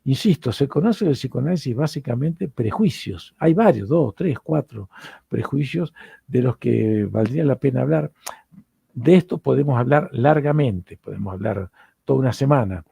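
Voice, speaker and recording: Middle-aged Argentine male lecturer, dark gravelly voice, dry room, hesitant and repetitive delivery. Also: medium pitch, lively intonation, moderate pace, no echo. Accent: Argentine Spanish. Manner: hesitant, repeats words while searching for them. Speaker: psychoanalyst lecturer.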